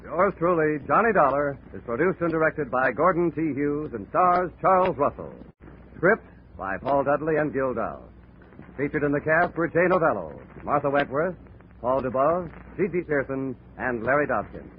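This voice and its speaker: Animated 1940s male radio announcer. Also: on the high side, moderate pace, phone-quality sound, a little hiss or hum. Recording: band-limited old radio recording. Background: music.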